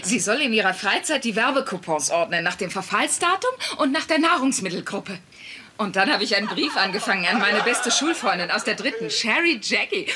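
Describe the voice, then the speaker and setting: A woman's voice: medium pitch, lively intonation, brisk, pushed and bright, a little hiss or hum. An actress playing a bossy, volatile working-class wife and mother in a sitcom dub, with a nagging, sarcastic manner. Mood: sheepish. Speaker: voice actor in a German sitcom dub.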